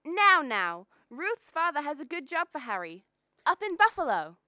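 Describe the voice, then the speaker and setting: A young woman playing a brisk, matchmaking middle-aged mother in a radio drama, coaxing and gently scolding. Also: sits high, very animated, no echo, full character voice. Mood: coaxing, sympathetic. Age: young adult.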